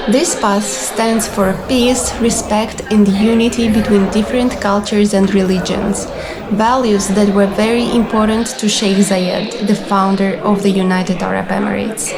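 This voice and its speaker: A young woman reading scripted travel vlog narration in a clear, orderly, explanatory manner. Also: mid-range voice, noisy background. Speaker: vlogger and cruise ship receptionist. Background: background music.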